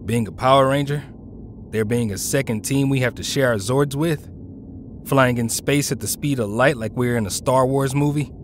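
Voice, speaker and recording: A man voices a loyal teenage hero with a medium-pitched voice. He sounds casual and slangy, supporting a friend in audio drama style, over faint background ambience. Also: a little hiss or hum, lightly acted.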